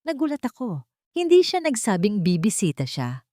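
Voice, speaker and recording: A woman's voice: mid-range voice, huge pitch swings, normal speed, dark tone, no room sound, clean studio sound. A woman with a Filipino accent reading a first-person dramatic story as an audiobook narrator.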